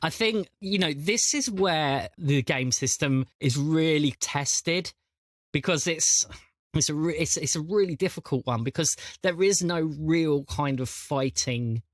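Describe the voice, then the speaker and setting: A man chatting casually as a podcast host and game master, often repeating words as he thinks out loud. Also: a little high, a little slow, dry recording, studio quality.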